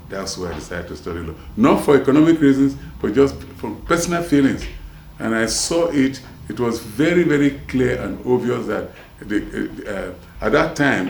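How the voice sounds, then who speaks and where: A gravelly older man in his seventies with a mixed Nigerian and American accent, rambling through his memories and circling back with restarts. His voice sounds roomy and echoing. Faint ambience hums behind him. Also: mid-range voice, a little hiss or hum. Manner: rambling, with many restarts and trailing asides. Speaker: interviewee, former prison officer. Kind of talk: casual street interview.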